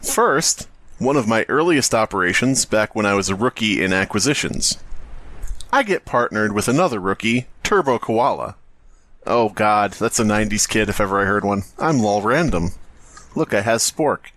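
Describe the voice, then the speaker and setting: A man's voice: lowish voice, expressive, moderate pace, fairly bright tone, dry recording. A man hosting a podcast, bantering casually and reading aloud for comedy, irreverent and given to swearing. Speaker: podcast host.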